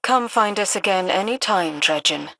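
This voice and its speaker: A woman voicing a cryptic emissary of cosmic powers in a video game, speaking in a measured, formal, oracular way. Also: mid-range voice, lively intonation, sharp tone, slight background noise. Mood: ominous, detached. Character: cryptic otherworldly female emissary of cosmic powers, coolly imperious. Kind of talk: dramatic video game dialogue.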